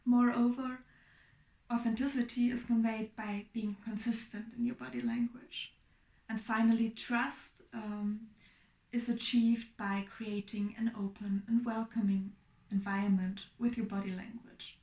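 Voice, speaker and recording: A young woman from Germany giving a clear, explanatory class presentation as a student. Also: mid-range voice, mellow, reverberant, low-quality recording. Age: young adult. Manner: clear, explanatory, measured with fillers.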